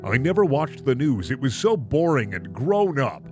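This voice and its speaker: A man's voice: mid-range voice, sing-song, normal speed, music in the background. A man hosting a comedic reading, switching between mock-dramatic narration and casual, sarcastic commentary. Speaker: host reading and reacting to fan fiction.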